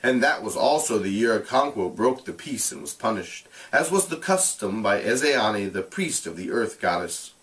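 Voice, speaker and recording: A man reading aloud like a teacher, in an expressive narrating style with deliberate, explanatory delivery. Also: fairly low pitch, far from the mic, low-quality recording.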